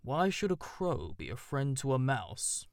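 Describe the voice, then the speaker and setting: A narrator voicing a stately old male owl who speaks in a formal, measured and aloof way. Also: medium pitch, animated, gravelly, no echo, studio quality. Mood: grave, formal.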